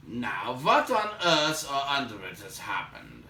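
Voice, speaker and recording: A man's voice: medium pitch, huge pitch swings, measured pace, gravelly, sharp tone, full character voice. A man voicing a stern, dry-witted dwarf leader in a storybook, impatient and formal in his wording. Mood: irritated, dismissive. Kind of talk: children's fantasy storybook read aloud. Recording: roomy, distant microphone, light noise.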